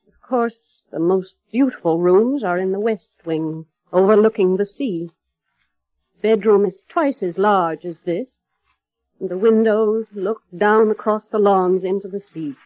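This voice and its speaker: An actress playing a cold, formal middle-aged housekeeper in 1930s radio drama, measured and quietly menacing. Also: female voice, medium pitch, no echo, close to an everyday voice. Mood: stiff, resentful. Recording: dull, muffled vintage radio broadcast, music.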